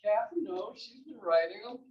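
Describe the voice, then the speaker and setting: A woman's voice: medium pitch, lively intonation, normal speed. A woman playwright speaks from across an echoey room, her voice slightly muffled. She chats casually and lets her stories wander from one detail to the next. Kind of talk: casual Q&A chat after a reading. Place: library event room. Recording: echoey library room, distant muffled mic.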